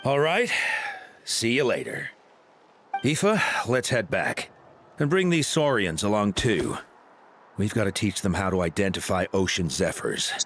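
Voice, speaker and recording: A friendly young adventurer in a video game. His voice is on the low side and moves about a lot. He sounds casual and easygoing, with faint noise behind him. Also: very animated, a little slow, fairly bright tone, exaggerated voice. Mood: casual, directing. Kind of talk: animated game dialogue.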